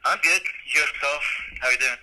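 Guy from syria, syrian accent, slow halting english, super bright voice on the phone. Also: a little high, slight background noise, rough recording. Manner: halting, searching for words. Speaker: student guest. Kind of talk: casual interview. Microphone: phone call.

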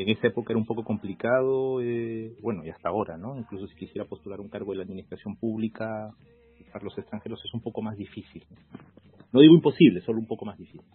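A man in his forties from Peru with a Peruvian accent, talking reflectively as a law professor guest in an interview. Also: lowish voice. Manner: measured, reflective, many fillers. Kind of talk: casual interview. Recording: phone-like call audio.

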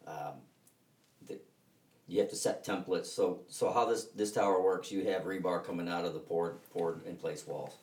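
A man being interviewed as a construction team leader, explaining technical details conversationally, haltingly, with emphasis on key points. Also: low-pitched, darkish tone. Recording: echoey room, distant mic.

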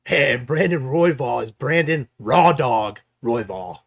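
A man's voice: mid-range voice, lively intonation, slow pace, vocal fry, a bit bright, breathy edge. A man co-hosting a casual sports chat, enthusiastic and hedging as he corrects himself. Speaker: co-host picking fights.

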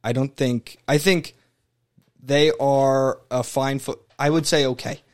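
A man's voice: mid-range voice, steady tone, a little slow. Young guy arguing football, keeps restarting, pushes his point hard. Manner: argumentative, emphatic, rambling restarts. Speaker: sports podcast co-host. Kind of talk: casual sports debate.

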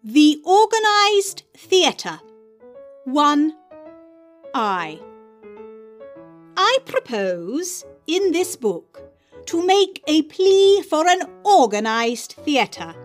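A woman giving a scripted dramatic reading of literary prose, with theatrical, emphatic delivery and deliberate phrasing. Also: high-pitched, big pitch swings, faint music behind. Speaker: host and reader of dramatic readings.

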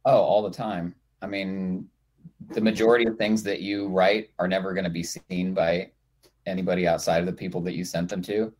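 A man with a distinctly low-pitched voice. He talks as a writer in a panel discussion, in a casual, anecdotal style drawn from personal experience. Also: level tone, normal speed, darkish tone, low-quality recording.

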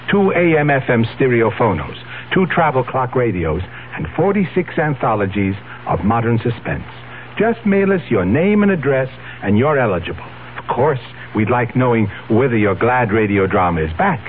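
Casting: male producer addressing radio listeners, mid-pitched, very animated scripted promo read. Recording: muffled 1970s radio broadcast, faint hum.

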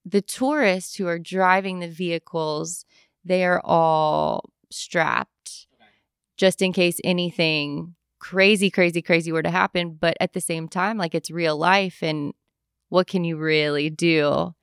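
A young adult woman chatting casually, telling animated travel stories and acting out what people said. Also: lowish voice, slow, clear, resonant tone, no room sound, clean studio sound. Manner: animated storyteller, acts out quoted voices. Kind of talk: casual chat.

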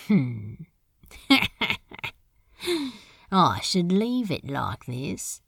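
Casting: laid-back demon man played by a woman, deep and gravelly, casual drawl, secretly sentimental, for audio fiction. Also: sing-song, extremely slow, fairly bright tone, dry recording, studio quality, heavily acted. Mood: amused, teasing. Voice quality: low, gravelly, husky. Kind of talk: fan audio drama acting.

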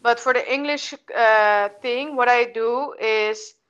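Dutch-accented woman interviewee, higher voice, hesitant, dull muffled recording. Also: takes it slowly, a bit bright, smooth. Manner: hesitant, many restarts and fillers. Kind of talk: casual conversational interview.

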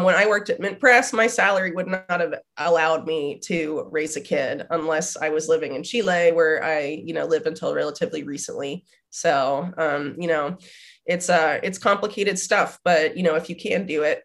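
A woman's voice: low voice, normal speed, fairly bright tone. A woman in her thirties, slightly nasal from a cold, talking as a guest in a rambling way with lots of fillers. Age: 30s. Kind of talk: casual conversation.

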